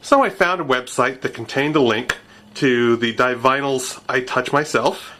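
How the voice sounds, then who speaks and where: A man's voice: medium pitch, unhurried, bright, forceful tone, slight background noise. A man with a nasal voice narrating a tutorial video in a wry, self-deprecating, joking way. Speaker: video creator.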